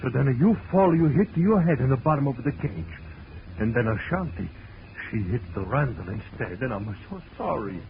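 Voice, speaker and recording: A man's voice: medium pitch, expressive, moderate pace, close to an everyday voice. An actor playing an anxious, effusive circus showman with an Italian accent and broken English in a 1940s radio drama. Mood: sorrowful, agitated. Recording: tinny, noisy 1940s radio recording.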